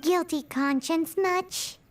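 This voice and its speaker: Very high squeaky fairy companion. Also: female voice, slow pace. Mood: suspicious. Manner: squeaky, speaks of self in third person. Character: tiny childlike floating fairy companion, cheeky and excitable. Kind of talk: anime game voice acting. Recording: loud game music behind.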